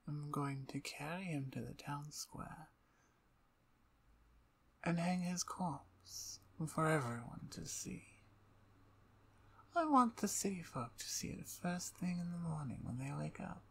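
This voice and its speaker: A woman playing a menacing, playful vampire usurper in an ASMR roleplay, domineering and seductive, with breathy speech that often drops toward a whisper. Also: low-pitched, exaggerated voice. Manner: slow, deliberate, domineering, teasing.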